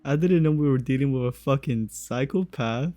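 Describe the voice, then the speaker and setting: A man's voice: mid-range voice, moderate pace, warm tone, no echo, soft background music. A man giving casual, slangy, profane commentary as he plays through a game story. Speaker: streamer reacting to game story.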